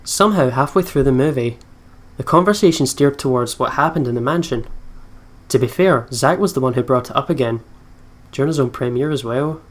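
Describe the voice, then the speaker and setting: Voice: mid-range voice, brisk. A let's player reading out visual novel narration and adding casual commentary. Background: soft guitar game music.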